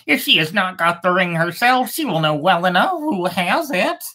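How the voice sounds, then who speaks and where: A woman's voice: fairly low pitch, very animated, normal speed, sharp tone, pitched above the performer's own voice, full character voice. A woman voicing a knowing bird who gives advice in a fairy tale.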